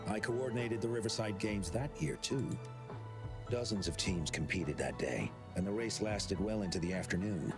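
A kindly game official who looks back fondly on the past. His voice is very low and gravelly, and his pitch rises and falls in a lively way. Game music plays clearly under him. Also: normal speed. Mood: reflective. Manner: soft, reflective, nostalgic. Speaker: game character.

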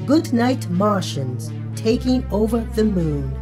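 A woman voicing a friendly furry animal puppet, reading a storybook to young children with cheerful, deliberate, singsong enunciation over music. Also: mid-range voice, sing-song. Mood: gentle, suspenseful. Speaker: children's read-aloud host. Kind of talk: slow storybook read-aloud for young children. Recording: music under the voice.

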